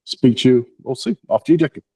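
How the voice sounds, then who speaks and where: A man hosting a meetup, introducing the guest speaker in a halting way with many repeats and restarts. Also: mid-range voice, expressive, darkish tone, husky, dry recording. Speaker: meetup host.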